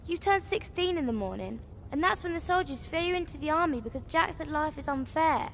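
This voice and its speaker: An actress playing a small, plaintive child upset at being left behind in a video game, blunt and pouting. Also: female voice, high-pitched, animated, fairly quick, a little hiss or hum. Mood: hurt, accusing.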